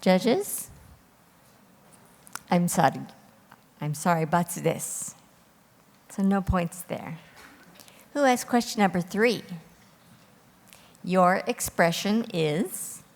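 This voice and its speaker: A woman with a lowish, slightly creaky voice hosts a formal quiz competition. She speaks in an orderly, instructional way, and her voice rings through a large reverberant hall. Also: lively intonation, takes it slowly, long pauses. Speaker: quiz host reading rules and judging calls. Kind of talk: formal event moderation. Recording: echoey hall with long reverb.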